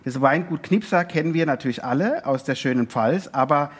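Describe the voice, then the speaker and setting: A man's voice: on the high side, fairly quick, breathy edge. A man co-hosting a talk show in a casual, bantering way.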